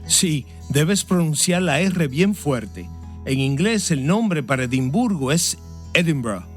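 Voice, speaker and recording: A man who is a pastor, giving a sermon and answering interview questions in an earnest, measured way. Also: fairly high pitch, expressive, faint music behind. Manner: earnest, measured preaching delivery.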